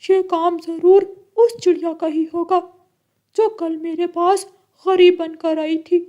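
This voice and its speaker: Cartoon parrot, male, played by a woman, indignant and accusing, very high-pitched and loud. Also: level tone, a little slow, lo-fi sound. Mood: distraught. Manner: shrill, accusing, exclaiming. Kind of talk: Hindi cartoon story voice acting.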